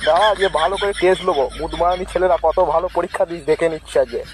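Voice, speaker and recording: Man voicing a fast-ranting irate cartoon schoolteacher. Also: sits high, fairly even intonation, natural voice, low-quality recording. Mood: furious. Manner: ranting, crude, cursing. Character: irate foul-mouthed male schoolteacher scolding his pupils. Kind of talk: comic cartoon parody dubbing. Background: music.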